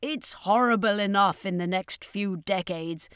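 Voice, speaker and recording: A woman voices a male circus master in a fantasy audiobook. He is resolute and commanding, with a mid-pitched delivery and a dry sound. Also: measured pace, fairly bright tone, clear, resonant tone, dry recording, natural voice. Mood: contemptuous.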